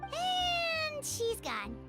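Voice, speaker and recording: A woman voicing a tiny, eager, childlike floating companion with a squeaky voice in a video game. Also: wildly swooping pitch, very slow, over loud music, heavily acted. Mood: chirpy. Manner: refers to herself in third person, exclaiming. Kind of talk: video game character dialogue.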